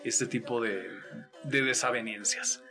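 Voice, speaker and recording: A man's voice: mid-range voice, a little slow, pushed and bright, faint music behind. A man with a Mexican accent hosting a casual, conversational interview. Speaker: interview host.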